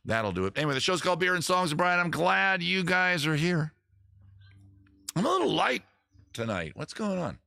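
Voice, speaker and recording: A man's voice: sits high, very animated, moderate pace, gravelly, bright, forceful tone, no echo. A man hosting a live stream, chatting casually between songs in a rambling, jokey, self-mocking way, a little tipsy from drinking. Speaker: live-stream host and singer. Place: room at home. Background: faint guitar.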